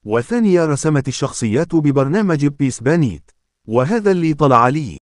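A man's voice: fairly high pitch, lively intonation, fairly quick, no echo, studio quality. A man hosting and narrating a video in a scripted creator style.

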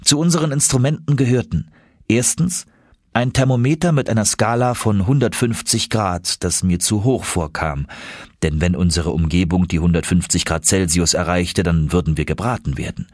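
Low, husky male audiobook narrator. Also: moderate pace, darkish tone, no room sound, studio quality. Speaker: audiobook reader. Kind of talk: scripted first-person audiobook narration of an adventure novel.

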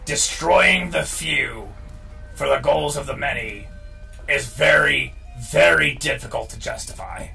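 A man's voice: medium pitch, unhurried, vocal fry, bright, forceful tone. A man hosting a gaming show with casual, opinionated commentary, emphatic and prone to swearing. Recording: distant mic, game music underneath.

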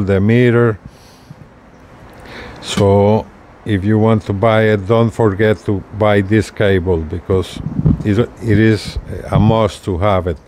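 An older man giving a casual, hands-on product demonstration with halting, unpolished delivery, recorded outdoors on a porch. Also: mid-range voice, darkish tone. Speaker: video creator demonstrating radio gear. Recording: outdoor ambient noise.

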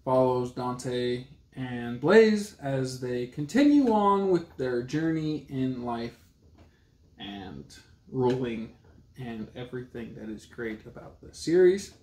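A man in his thirties chatting about books as a vlog co-host, in playful, halting short phrases, recorded in an echoey room at home. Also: medium pitch, big pitch swings, a little mellow. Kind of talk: casual book review chat. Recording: echoey room with background noise.

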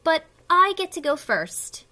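A woman's voice: extremely high pitch, very animated, measured pace, bright, forceful tone, voice pushed up, full character voice. A woman reading a storybook, voicing a proud, self-important young female caterpillar. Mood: assertive. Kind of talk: children's storybook read aloud.

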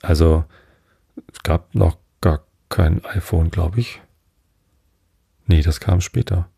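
Middle-aged northern German man, sleep podcast host; dark, husky voice, rambling, dry close mic. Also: deep voice, fairly even intonation, slow pace, dry recording. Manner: meandering, hesitant, many fillers and digressions.

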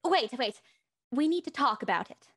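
A woman's voice: high voice, animated, moderate pace, a bit bright, no echo, clean studio sound, exaggerated voice. A young woman playing a spoiled, dramatic socialite in an audio drama. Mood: coy, uneasy. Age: young adult. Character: spoiled, indignant young actress playing a secretive socialite house guest.

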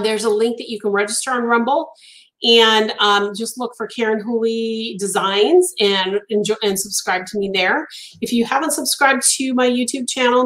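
A woman's voice: fairly high pitch, low-quality recording. A woman in her fifties whose first language is Italian, chatting casually on a live stream from her home craft room, with lots of ums and restarts, a little stuffy from allergies. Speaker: crochet designer hosting a live stream. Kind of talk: casual live-stream chat reading viewer comments. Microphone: webcam at desk.

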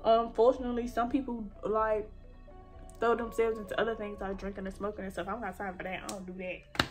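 A young woman in her twenties chatting casually and warmly while she does her makeup, her nose stuffy from allergies. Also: mid-range voice, level tone, a little fast, faint music behind. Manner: chatty, heartfelt, colloquial. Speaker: beauty vlogger. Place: bedroom, indoors.

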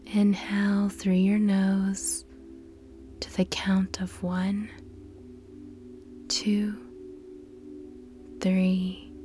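A woman narrating a scripted guided meditation in a soft, breathy, partly whispered voice, measured and instructional, with pauses between phrases. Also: mid-range voice. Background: background music.

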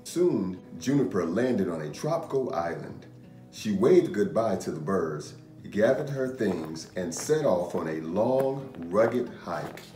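A man in his thirties reading a children's picture book aloud in an expressive, measured storytelling manner. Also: low voice. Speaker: storytime reader, host. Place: indoor room. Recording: roomy echo. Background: music.

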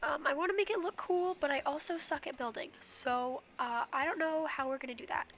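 A young woman chatting away while she plays on stream, high and bright in tone, drifting between thoughts and humming little nonsense tunes. She is a bit sniffly, and she speaks into a headset with a faint hiss of noise underneath. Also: brisk. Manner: rambling, chatty, hums silly nonsense sounds. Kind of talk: casual livestream commentary while playing.

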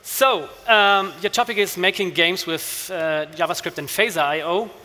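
A man introducing a speaker on stage as a conference host, with halting phrasing. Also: high voice, pushed and bright. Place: large conference hall stage. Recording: large hall reverb.

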